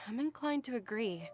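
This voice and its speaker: A woman playing a polite, gentle young noblewoman who cares deeply, in an anime video game, with music behind.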